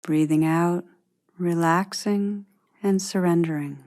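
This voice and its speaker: Female meditation guide, lower-pitched, very slow and gentle, pausing often. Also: steady tone. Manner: gentle, unhurried, long pauses.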